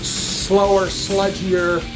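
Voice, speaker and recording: A man with a somewhat high-pitched voice talks casually as a music reaction host. His delivery rambles along in a joking manner, and he often breaks into his own sentences to restart or add something. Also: little pitch movement, drawn-out words, poor audio. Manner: rambling, jokey, self-interrupting. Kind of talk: casual chat to viewers.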